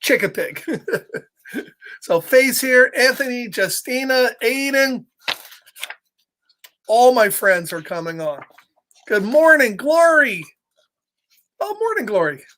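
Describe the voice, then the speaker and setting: A man's voice: extremely high pitch, sing-song, slow pace, long pauses, bright, forceful tone, slight background noise. A man hosting children's storytime, chatting playfully and speaking directly to young listeners as he reads. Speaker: children's storytime host and reader. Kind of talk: casual livestream chat and children's story reading.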